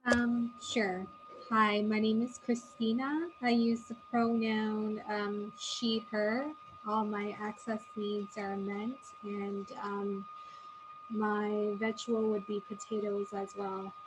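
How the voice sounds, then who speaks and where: A woman giving a quiet, brief, hesitant share on a video call. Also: medium pitch, level tone, slow. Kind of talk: casual online group check-in. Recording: noisy call line.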